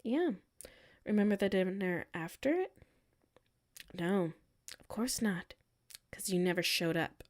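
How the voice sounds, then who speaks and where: A woman's voice: medium pitch, expressive, a little slow, pauses often, close to an everyday voice. A woman playing a hurt, defensive tsundere coworker with a secret crush in a roleplay audio, exasperated and emphatic, dropping into sulky murmurs. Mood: annoyed. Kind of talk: roleplay audio acting, one side of a conversation.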